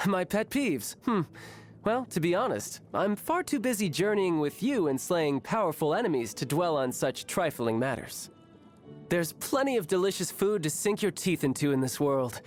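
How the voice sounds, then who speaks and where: An actor voicing a cocky, playful, battle-hungry young male warrior for a video game, with a breezy, boastful, theatrical delivery. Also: a little high. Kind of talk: video game character voice lines. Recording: music behind the voice.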